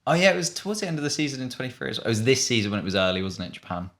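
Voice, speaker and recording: A man's voice: medium pitch, big pitch swings, racing, a bit bright. A man chatting casually and thinking aloud in a hesitant, musing way while playing a quiz. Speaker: online video host, quiz player.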